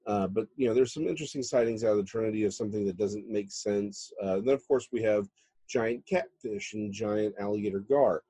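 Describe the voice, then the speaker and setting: A man's voice: on the low side, a little fast, dark tone, slightly rough, no echo. A man, a guest author talking casually in an interview and building up with emphasis.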